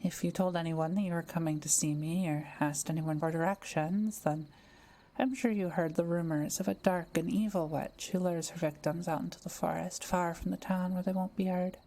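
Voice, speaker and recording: Kind solitary forest witch, played by a woman, lowish mellow voice, unhurried and softly playful, for roleplay audio drama. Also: steady tone, low-quality recording. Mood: gentle, reassuring.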